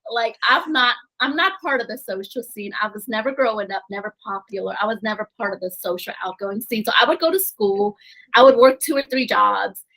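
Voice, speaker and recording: A woman guest on a podcast, telling her life story in a chatty, animated way and laughing often. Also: a little high, a little fast, poor audio. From grew up in New Orleans, USA. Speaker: podcast guest, eye doctor and real estate investor. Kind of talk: casual interview storytelling.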